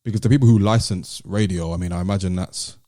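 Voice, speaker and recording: Deep, mellow British man. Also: fast, no room sound, studio quality. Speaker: podcast host. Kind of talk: casual discussion.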